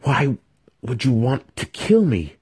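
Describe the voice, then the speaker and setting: Fantasy audiobook victim: man performing a young male dark elf, raised pitch, indignant, pleading, proud but helpless. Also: very animated, slow, breathy edge, full character voice. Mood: bewildered, pleading. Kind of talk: dramatic fantasy audiobook acting.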